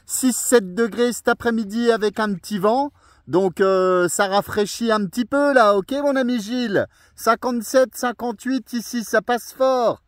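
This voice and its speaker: A man's voice: very high-pitched, normal speed, no echo, studio quality. A man chatting in a friendly way as a portable radio operator, his voice coming through a radio transmission. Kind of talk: friendly radio contact chat. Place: outdoors on a mountain, heard over radio.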